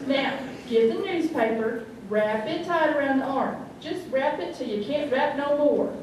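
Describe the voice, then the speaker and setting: Woman as a high-voiced folksy remedy lady, echoey. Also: a little slow, darkish tone. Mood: cheerfully matter-of-fact. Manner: homespun, chatty, instructive. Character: folksy, cheerfully brutal small-town home remedy lady. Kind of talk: comic radio monologue before an audience. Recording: distant, very echoey, noisy stage recording.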